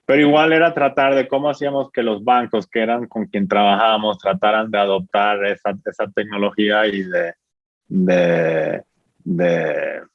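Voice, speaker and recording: A Dominican man in his forties answers an interviewer in a low, measured voice. He lays out his points patiently and keeps tagging them with a questioning aside. The sound is dry and a touch muffled. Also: fairly even intonation, dry recording. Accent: Dominican Spanish. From Dominican Republic. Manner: measured, explanatory, frequent tag questions. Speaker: fintech founder, guest.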